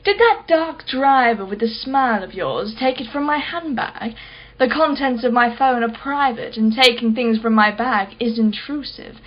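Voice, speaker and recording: Radio drama casting: wealthy female collector played by a woman, higher-pitched, imperious, sardonic and sceptical, slightly muffled recording. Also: normal speed, lightly acted. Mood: indignant. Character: haughty, sharp-tongued wealthy female art collector, sceptical. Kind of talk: radio play acting.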